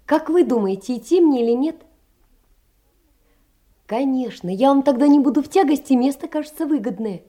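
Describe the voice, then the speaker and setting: An actress playing a gentle, lonely poor young woman, wistful and earnest, in a theatrical literary audio drama. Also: sits high, animated, moderate pace, long pauses. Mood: uncertain, worried.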